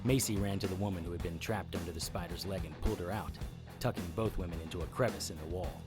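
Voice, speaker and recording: Low-voiced, hard-boiled monster hunter, played by a man, over music. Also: fast talker, close to an everyday voice. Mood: tense narration. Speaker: lead actor narrating in first person. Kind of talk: audio drama narration, noir-style. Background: music bed under the voice.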